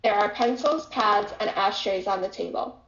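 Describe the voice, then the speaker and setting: Female narrator for a play's stage directions, medium pitch, dull echoey room sound. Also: moderate pace, fairly bright tone. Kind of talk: scripted narration.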